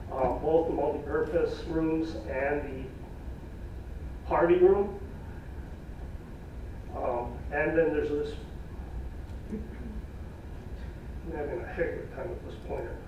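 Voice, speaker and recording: A man presenting cost estimates at a public meeting in a plain, folksy way, losing his voice, with halting fillers. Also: on the high side, a little hiss or hum. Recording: echoey room from far off, dull.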